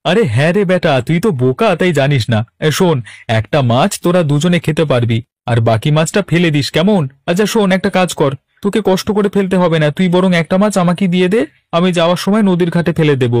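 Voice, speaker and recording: A man's voice: fairly high pitch, expressive, brisk, darkish tone, no room sound, studio quality, natural voice. A man voicing a sly young village swindler in a cartoon, cajoling and talking down in a mock-friendly way. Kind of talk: Bengali cartoon voice acting.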